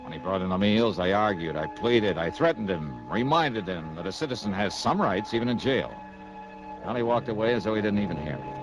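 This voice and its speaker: Casting: wry hardboiled investigator, lowish voice with very mobile pitch, vintage radio drama with music. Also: male voice, animated, a little fast, low-quality recording. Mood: wry, frustrated. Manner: wry, conversational, emphatic. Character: wry, brash male freelance insurance investigator. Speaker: radio drama lead actor. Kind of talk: 1950s hardboiled radio acting, first-person narration.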